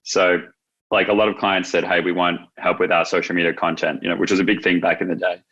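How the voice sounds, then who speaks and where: A man's voice: deep voice, level tone, quick pace, a bit bright, husky, highs cut off. A man speaking as an interview guest, explaining his business in an informal, wordy, conversational way. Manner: informal, wordy explaining with frequent "like" and "you know". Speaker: business founder, podcast guest.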